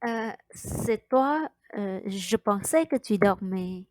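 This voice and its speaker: A woman's voice: medium pitch, lively intonation, slow, a little mellow, a little hiss or hum. A woman playing a meek, evasive father in a radio drama, with a hesitant manner. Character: meek, evasive father eager to keep peace. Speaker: student actor.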